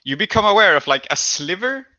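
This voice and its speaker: A man's voice: high-pitched, sing-song, brisk, bright, forceful tone, highs cut off. A man running a tabletop roleplaying game, narrating improvised developments to the players. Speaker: game master.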